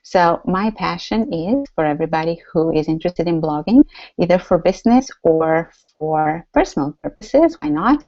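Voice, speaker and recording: A woman's voice: lowish voice, expressive, normal speed, mellow, no room sound, dull audio. A woman with a Romanian accent hosting a live online workshop, chatty and full of fillers and restarts. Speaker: workshop host. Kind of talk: live webinar teaching.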